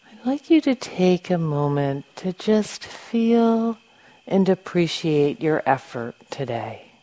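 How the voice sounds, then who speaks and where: A woman meditation teacher leading a guided meditation in a gentle, unhurried manner with long pauses. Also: on the low side, very animated, poor audio. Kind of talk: guided meditation, softly instructing.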